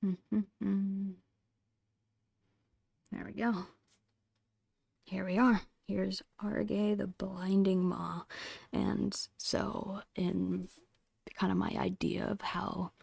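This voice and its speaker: A woman murmurs through an ASMR drawing session in a breathy, often whispered voice. Her speech is very slow and full of heavy creak. The sound is close and dry, as she narrates in short, soft phrases. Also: mid-range voice, no echo. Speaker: digital artist hosting an art channel.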